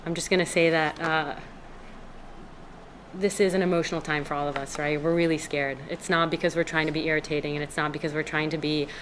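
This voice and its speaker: A woman's voice: deep voice, little pitch movement, fairly quick, a little hiss or hum, poor audio. A woman from Israel, a resident speaking at a town hearing, earnest and hesitant with many fillers and restarts. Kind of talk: public comment at a town hearing. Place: town meeting room.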